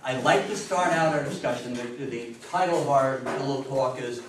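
An older man with a mid-pitched voice opens an informal lecture. He sounds distant and very echoey, with audience sound around him. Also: moderate pace, a crowd in the background. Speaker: guest speaker. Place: indoor meeting room. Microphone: distant camera microphone.

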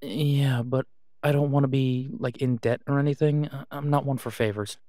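A man plays a reluctant werewolf alpha in an audio roleplay. His delivery is casual and understated, with an awkward hesitance. The voice sits at a medium pitch in a dry, close recording. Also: steady tone, moderate pace, dark tone, no echo, lightly acted. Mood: awkward, wary. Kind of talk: naturalistic audio roleplay acting.

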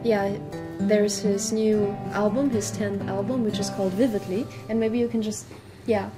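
Female interviewer, young adult, mid-pitched, tentative casual questioning, guitar music in the background. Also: fairly even intonation, normal speed. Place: outdoor garden patio at evening.

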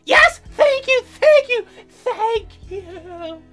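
A woman voicing an eager, flustered young heroine in a visual novel, squeaky and halting. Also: animated, a bit bright, soft background music, heavily acted.

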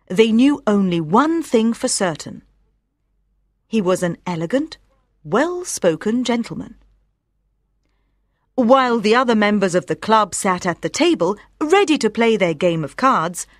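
Female audiobook narrator, scripted storytelling, careful articulation, expressive pitch movement, mid-pitched, dry recording. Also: dry recording. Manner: clearly articulated storytelling for language learners.

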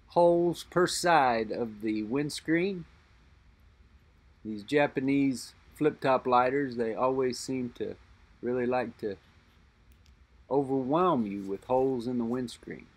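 A middle-aged man speaks at an unhurried, deliberate pace, thinking aloud about an old lighter in his hand. His voice sits at an ordinary middle pitch. He pauses and backtracks as he weighs what he sees. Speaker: lighter collector and reviewer. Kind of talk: unscripted show-and-tell narration.

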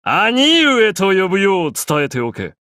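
An actor playing an arrogant, grandiose young pharaoh in an anime game, declaiming in an imperious, pompous way. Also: male voice, sits high, wildly swooping pitch, measured pace, pushed and bright, no echo, studio quality, full character voice. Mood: proud, commanding.

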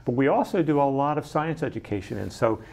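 Animated middle-aged man, interview guest. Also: medium pitch, quick pace, creaky, slight background noise. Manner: enthusiastic, gestures a lot, frequent ums and restarts. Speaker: science center director, guest. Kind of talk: casual interview chat. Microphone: lapel mic.

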